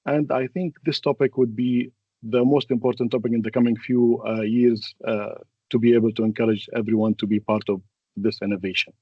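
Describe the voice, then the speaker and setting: A man's voice: mid-range voice, little pitch movement, brisk, husky, no room sound. Arabic native guy hosting a webinar, hesitant stop-start talk, kinda muffled dry sound. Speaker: webinar moderator. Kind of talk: introducing speakers and housekeeping in a webinar.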